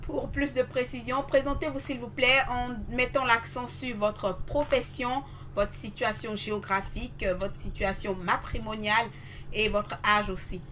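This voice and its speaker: Higher-voiced Beninese woman, noisy background. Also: steady tone, a little slow, bright, forceful tone. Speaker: interview host. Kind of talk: formal broadcast interview.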